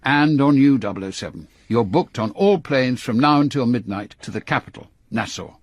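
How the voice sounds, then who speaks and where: A man playing a brisk, authoritative older British intelligence chief in a radio drama, with an English accent and a clipped, commanding delivery. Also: mid-range voice, no room sound, close to an everyday voice. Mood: commanding, intent.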